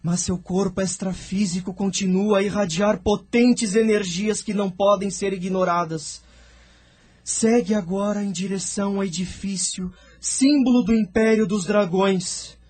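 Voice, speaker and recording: Slow, high-voiced male narrator. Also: steady tone, darkish tone. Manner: solemn. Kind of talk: scripted dramatic narration.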